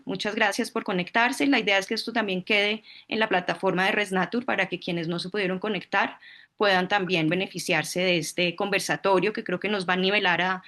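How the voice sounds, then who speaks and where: A woman's voice: mid-range voice, fairly bright tone. A woman, a board member moderating a video-call meeting and explaining the logistics in an organized way.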